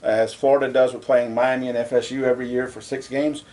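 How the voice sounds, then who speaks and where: A man co-hosting a casual sports talk show, debating his co-host and getting louder and higher when he is indignant. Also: level tone, fast talker.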